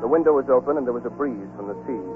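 A man's voice: mid-range voice, racing, over music. Man narrating on tinny old radio. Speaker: host and narrator. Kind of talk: 1940s radio scripted narration. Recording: tinny, muffled 1940s radio broadcast.

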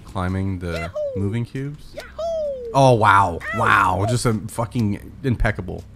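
A young man hosting a casual gaming stream from his home room on a studio mic, excitable and foul-mouthed, breaking into loud laughter. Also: on the low side, slow pace, noisy background. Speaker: streamer host. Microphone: studio mic on arm.

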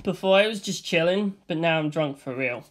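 A man's voice: on the high side, lively intonation, moderate pace, natural voice. Bullet Bill, a crude party-hard bullet, as a man reads him. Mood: blunt. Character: reckless male living bullet, hard-partying addict.

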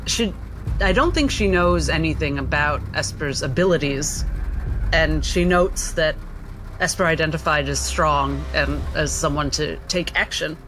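A woman's voice: low voice, a little slow, a bit bright, faint music behind, poor audio. A woman playing a tabletop game, casually narrating what her character observes.

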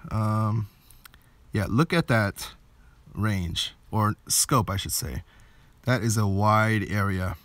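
A man's voice: fairly low pitch, slow, pauses often, fairly bright tone, no echo. A man giving casual gameplay commentary, thinking aloud a little hesitantly as he plays. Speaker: game video creator.

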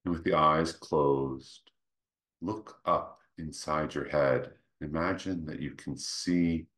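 A man leading a guided meditation, speaking gently and without hurry, in short phrases with pauses between them. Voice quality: very deep, creaky. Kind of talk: guided meditation, slow soothing instruction.

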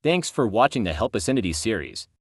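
A man's voice: lowish voice, sing-song, fast talker, slight background noise. A man who makes a series, giving a scripted sign-off to viewers. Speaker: series creator and narrator.

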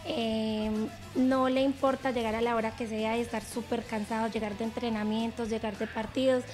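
A woman's voice: fairly high pitch, flat delivery, normal speed, darkish tone, faint music behind. A young woman on a sofa at home, praising her husband in an interview into a handheld mic. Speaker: footballer's wife. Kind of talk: interview answers. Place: living room, on a sofa indoors.

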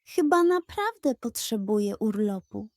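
A woman's voice: mid-range voice, animated, unhurried, darkish tone, dry recording, clean studio sound, natural voice. A woman voicing an earnest, firm but kindly male piglet detective in a children's audio story. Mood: amazed.

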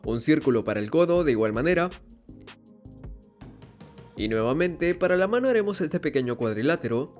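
Male drawing tutor, fairly high voice with lively, rising intonation over faint music. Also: normal speed, long pauses. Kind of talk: step-by-step drawing tutorial narration. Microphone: voice-over over hands-on drawing footage.

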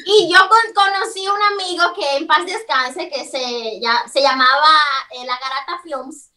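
Casting: very high, bright-toned Dominican woman comedian, playful, heard from far off a call mic. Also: measured pace. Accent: Dominican Cibao Spanish. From Dominican Republic, Cibao region. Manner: lively, joking, laughs at herself. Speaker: comedian, interview guest. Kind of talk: casual interview over video call. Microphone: video call.

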